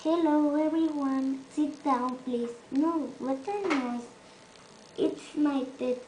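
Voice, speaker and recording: A young woman putting on the voice of a strict female teacher calling a class to order, bossy and slow. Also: high voice, a little mellow, pitched above the performer's own voice. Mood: commanding. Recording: echoey room, faint noise.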